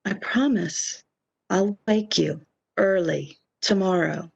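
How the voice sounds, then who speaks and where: Lady acting as calm soothing lover in a radio play, real slow n gravelly. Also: fairly low pitch. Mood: tender, assured. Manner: soothing, measured, brief answers. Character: calm, reassuring woman, a tender phantom lover. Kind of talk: amateur radio drama acting.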